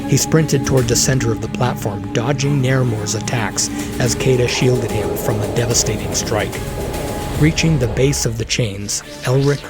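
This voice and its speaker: A man's voice: medium pitch, moderate pace. A man reading scripted, dramatic fantasy narration as the storyteller of an audio drama. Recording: loud dramatic music behind.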